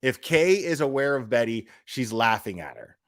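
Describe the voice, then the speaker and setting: A man's voice: medium pitch, very animated, moderate pace, dry recording. Blunt man ranting casually, dry close sound. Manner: emphatic, repeats phrases for stress. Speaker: livestream commentator. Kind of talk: casual unscripted rant to live viewers.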